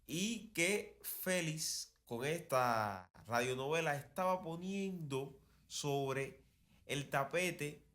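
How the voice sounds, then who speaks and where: A man's voice: on the high side, animated, extremely slow, a bit bright. Cuban man hosting a conversational history talk. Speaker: podcast host.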